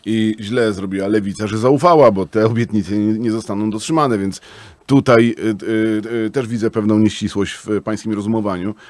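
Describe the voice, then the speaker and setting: A man in his forties runs a radio interview and presses his guest with probing questions. His voice is fairly low. The booth sound is dry and close-miked. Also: fairly even intonation, normal speed, a little mellow, dry recording. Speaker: radio host. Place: small radio studio with acoustic panels. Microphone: studio microphone on arm.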